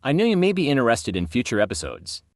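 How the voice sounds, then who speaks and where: A man's voice: mid-range voice, huge pitch swings, fast talker, no room sound, clean studio sound. A man who makes a series, giving a scripted sign-off to viewers. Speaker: series creator and narrator.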